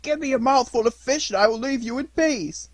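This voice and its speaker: A man's voice: extremely high pitch, a little fast, no room sound, pitched above the performer's own voice. A man voicing a large, loyal talking dog with an earnest, friendly manner. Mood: begging.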